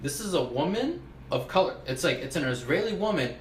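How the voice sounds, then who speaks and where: A man's voice: on the high side, big pitch swings, a little fast. A man co-hosting a casual podcast with friends, rambling and swearing freely, a little tipsy from drinking. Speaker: podcast co-host. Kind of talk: casual chat among friends. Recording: echoey room with faint ambient noise.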